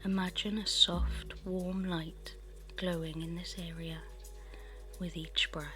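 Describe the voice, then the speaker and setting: A woman leads a guided meditation in a soft, breathy half-whisper. Her voice is on the low side and very unhurried, with a soothing delivery. Music plays clearly behind her. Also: flat delivery. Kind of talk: guided meditation, scripted narration.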